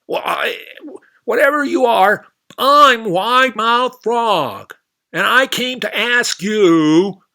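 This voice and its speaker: A man voicing a loud, boastful and rude female frog from a storybook, drawing out his words slowly. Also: high voice, creaky, pitched above the performer's own voice, full character voice. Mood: excited. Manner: slow, drawn-out, shouted words. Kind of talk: storybook character voice.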